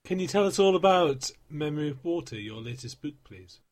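A man's voice: on the high side, lively intonation, brisk, a little mellow. A man hosting a show, putting brief, formal, prepared questions to a guest author. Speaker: interviewer, show host. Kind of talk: reading prepared interview questions.

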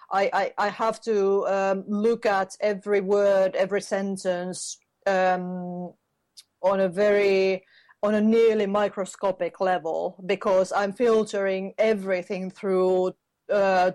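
A woman author, answering an interviewer's questions. She speaks thoughtfully and hesitantly, pausing often and restarting her sentences while she searches for the right words. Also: mid-range voice, a little mellow. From Finland. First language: Finnish. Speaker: author guest.

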